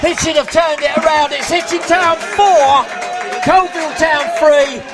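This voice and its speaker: A man giving live play-by-play football commentary outdoors, excitable and losing his voice. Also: very high voice, measured pace, over loud music. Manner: excitable, shouts at goals, self-mocking. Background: crowd noise.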